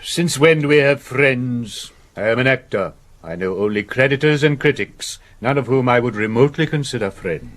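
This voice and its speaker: A man performs a haughty Victorian British thespian for a radio drama. He is imperious and self-pitying, declaiming at a middling pitch as though every grievance deserves a stage. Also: expressive, normal speed, fairly bright tone, close to an everyday voice. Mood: haughty, scornful. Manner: theatrical, pompous, demanding. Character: grand, bored, self-pitying Victorian actor, imperious to his dresser. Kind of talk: 1970s BBC radio drama acting.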